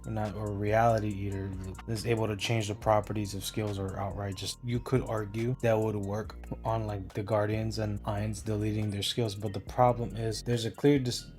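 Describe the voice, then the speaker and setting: A young man talking casually in a flat, monotone way, rambling with many restarts and fillers as he breaks down a versus battle. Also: fairly low pitch, moderate pace, few pauses. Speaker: YouTube versus-battle analyst. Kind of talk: casual spoken analysis over music. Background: lo-fi hip hop music.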